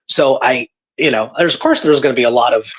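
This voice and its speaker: Slightly husky man musing in casual discussion. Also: medium pitch, fairly quick, poor audio. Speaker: co-host. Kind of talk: casual discussion of a historical story.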